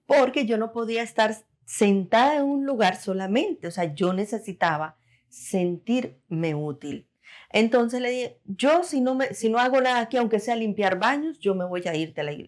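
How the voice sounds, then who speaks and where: A woman's voice: mid-range voice, normal speed, darkish tone. A woman in her forties from Costa Rica, recounting her life casually as an interview guest. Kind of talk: casual personal storytelling.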